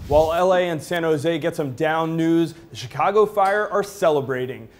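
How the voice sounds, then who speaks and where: A man in his thirties presents sports news with brisk, upbeat, scripted anchor delivery. His voice sits a little high for a man. He is recorded on a lapel mic in an office newsroom studio. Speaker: news show host.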